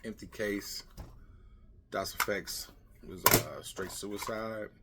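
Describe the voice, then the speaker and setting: Male vlog host, middle-aged, fairly low pitch, off-the-cuff muttered asides, noticeably noisy recording with clatter. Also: takes it slowly. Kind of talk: casual rambling vlog chat. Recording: clear background noise with clicking, clattering.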